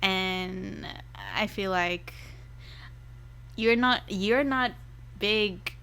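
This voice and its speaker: A woman's voice: mid-range voice, drawn-out words, vocal fry, pushed and bright, a little hiss or hum. A woman from Singapore in a casual, conversational chat about personal life.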